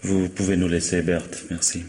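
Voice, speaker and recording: A man's voice: low-pitched, flat delivery, moderate pace, darkish tone, a little hiss or hum, lightly acted. A man playing a composed, resigned employer under suspicion, polite, reasoning and wry, in a vintage French radio mystery.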